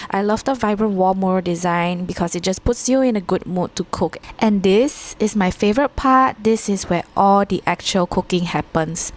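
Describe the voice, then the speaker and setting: A woman from Singapore giving an upbeat, scripted voice-over narration for her vlog. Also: medium pitch. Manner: upbeat, enthusiastic. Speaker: vlogger.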